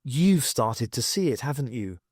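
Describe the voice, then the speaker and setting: A man voicing a sharp, inquisitive woman adventurer who questions things emphatically. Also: medium pitch, expressive, unhurried, dry recording, studio quality. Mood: urgent, emphatic.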